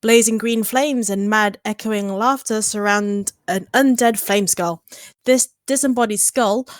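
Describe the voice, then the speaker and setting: A woman's voice: mid-range voice, measured pace, no echo, clean studio sound. A woman reading aloud as a podcast player at a tabletop game show's sign-off.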